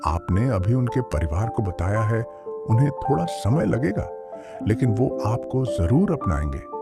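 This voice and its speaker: A man's voice: on the low side, big pitch swings, a little slow, loud music behind the voice. Man acting a swooping, comforting forest animal. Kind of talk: Hindi cartoon moral story acting.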